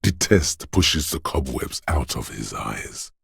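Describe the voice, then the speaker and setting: An actor voicing a video game character, a paranoid, aggressive inner voice of fight-or-flight instinct, speaking in an ominous, raspy, urging way. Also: male voice, big pitch swings, a bit bright, no echo, studio quality, heavily acted. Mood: menacing, brooding. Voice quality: very deep, gravelly, raspy. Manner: slow, deliberate, ominous urging.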